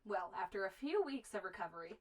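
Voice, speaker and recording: A woman's voice: mid-range voice, big pitch swings, fast. An actress playing a friendly, talkative young woman who keeps a remote frontier store, with a folksy manner. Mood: matter-of-fact. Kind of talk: amateur western film acting.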